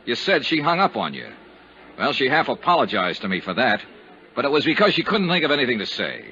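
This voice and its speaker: Hard-boiled male investigator, insistent and methodical, bright mid-pitched voice, vintage radio drama sound. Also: sing-song, a little fast. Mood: firm, pressing. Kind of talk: 1950s radio detective drama acting. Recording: dull, hissy 1950s radio recording.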